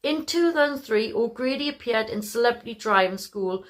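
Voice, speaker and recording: A woman's voice: on the high side, expressive, moderate pace. A woman reading scripted biographical narration.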